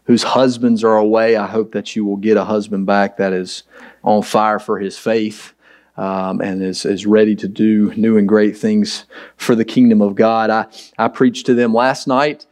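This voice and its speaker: Raspy-voiced pastor preaching earnestly. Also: male voice, level tone, unhurried, a little mellow. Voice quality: raspy, deep. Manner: conversational, earnest, emphatic. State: hoarse from preaching late the night before. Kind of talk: sermon.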